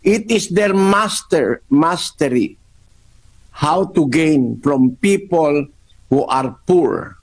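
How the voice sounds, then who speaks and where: Filipino guy preaching slow, kinda high voice, hammers the same lines again and again. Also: animated. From Philippines. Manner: slow, emphatic, repeats key phrases. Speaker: preacher answering a question. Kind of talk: Bible Q&A sermon.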